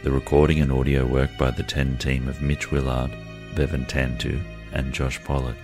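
Aussie announcer guy, super deep and flat, reading podcast credits over music. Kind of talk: scripted podcast credits read.

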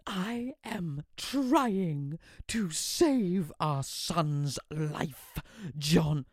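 A man voicing a frantic, overbearing middle-aged mother, in a shrill, melodramatic, scolding manner. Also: expressive, extremely slow, no echo, studio quality, heavily acted. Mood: hysterical.

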